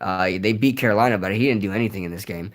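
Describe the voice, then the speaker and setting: Hoarse young man chatting on a livestream. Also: lowish voice, rapid-fire. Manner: rambling, repeats words, many fillers. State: hoarse, voice nearly gone from yelling. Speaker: streamer hosting a live Q&A. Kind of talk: casual live-stream chat reading comments.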